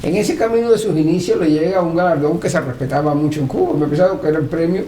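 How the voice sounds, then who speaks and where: A man's voice: fairly high pitch, fast, dark tone. A man interviewing respectfully, using formal address and small affirming murmurs. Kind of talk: oral history interview, respectful questioning. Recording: roomy echo, faint background noise.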